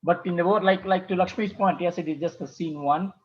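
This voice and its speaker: A man with a distinctly high voice chats casually in an online group discussion. The sound is a bit muffled, like a video call. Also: fairly quick. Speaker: workshop participant.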